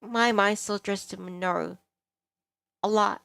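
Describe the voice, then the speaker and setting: A woman's voice: mid-range voice, steady tone, unhurried, gravelly, fairly bright tone, dry recording. A woman streamer reading a visual novel aloud, with a dramatic read-aloud delivery. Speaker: VTuber game streamer. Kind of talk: reading visual novel dialogue and narration aloud, voicing characters. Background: game music.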